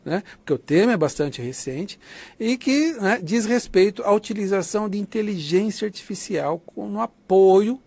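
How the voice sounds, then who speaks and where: The fairly high-pitched Brazilian man you would hear leading a university short course, explaining things conversationally and checking that students follow. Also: lively intonation, normal speed, gravelly, mellow, dry recording. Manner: didactic, conversational, often seeks confirmation with tags. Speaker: lecturer of an academic writing course. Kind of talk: online lecture, informal explanation.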